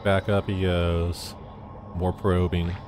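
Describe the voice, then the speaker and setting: A man streaming a game, making casual, profane commentary in deadpan, muttered asides. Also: low-pitched, takes it slowly, background noise. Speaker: streamer reading game dialogue aloud.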